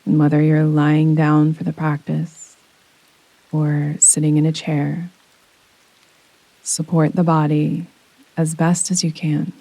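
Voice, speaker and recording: Female meditation guide: soothing, very even intonation, long unhurried pauses, intimate dry sound with rain behind. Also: low-pitched, no room sound, rough recording. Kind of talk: guided yoga nidra relaxation meditation. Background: soft rain sounds.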